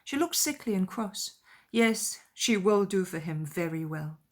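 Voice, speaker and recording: Woman playing a wry, tart young gentlewoman. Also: mid-range voice, expressive, measured pace. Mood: wry, scornful. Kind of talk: dramatized classic novel reading.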